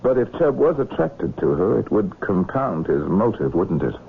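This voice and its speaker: Low-voiced, terse police inspector. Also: male voice, big pitch swings, moderate pace, creaky, heavily acted. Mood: musing. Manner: terse, matter-of-fact questioning. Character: male police inspector, probing, dry, courteous but persistent. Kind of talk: 1970s radio drama acting. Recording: muffled lo-fi old radio broadcast, hiss.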